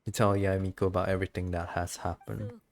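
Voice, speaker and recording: Woman game streamer, deep for a lady, bit of gravel, giggly n casual, reads dialogue out loud. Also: fast. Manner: giggly, expressive reactions. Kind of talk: casual game playthrough reading dialogue aloud.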